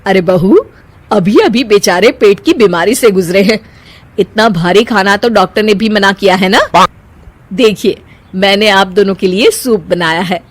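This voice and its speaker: A woman's voice: medium pitch, unhurried, natural voice. A woman voicing an older, competitive mother-in-law in a storybook tale, pointed and fault-finding. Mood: reproachful.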